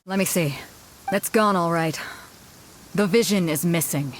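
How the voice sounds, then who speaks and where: A woman's voice: lowish voice, a little slow, a little hiss or hum, lightly acted. A woman voicing a rousing tournament announcer in scripted video game dialogue. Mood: concerned.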